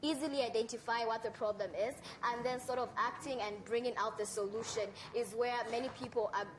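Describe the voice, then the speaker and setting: A young woman with a Zambian accent speaks at a rapid clip in a fairly high voice. She is earnest and emphatic, making her case as a panelist, over noticeable background noise. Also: fairly even intonation. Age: young adult. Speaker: youth activist panelist. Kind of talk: panel discussion, articulate opinion. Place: live conference panel.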